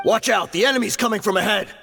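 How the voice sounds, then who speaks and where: An actor playing a loyal, brisk mercenary comrade in a video game, urgent and rallying. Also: male voice, high voice, creaky, sharp tone, soft background music, exaggerated voice. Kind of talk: video game character acting. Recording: long reverberant echo.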